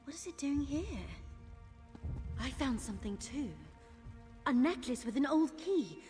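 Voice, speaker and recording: A woman's voice: sits high, expressive, unhurried, warm tone. An actress voicing an earnest young woman thief searching for her missing father in a video game, confiding in tone. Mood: puzzled, searching. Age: young adult. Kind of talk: video game character dialogue. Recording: game music behind the voice.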